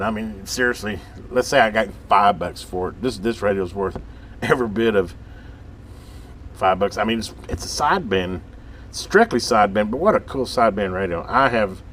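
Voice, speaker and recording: A man with a fairly low voice and an American accent talks like a hobbyist showing off gear on video. He rambles in a casual, folksy way, working out his thoughts as he goes. There is some background noise around him. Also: a bit bright. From United States. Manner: folksy, rambling, thinking aloud. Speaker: radio hobbyist presenting on his channel. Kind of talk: casual hands-on video chat. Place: workbench with radio gear.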